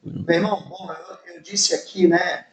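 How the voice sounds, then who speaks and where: A Brazilian man with a Brazilian accent hosting a podcast in a casual, informal, somewhat halting conversational way. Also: high voice, steady tone. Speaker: podcast host. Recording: roomy echo, ambient background noise.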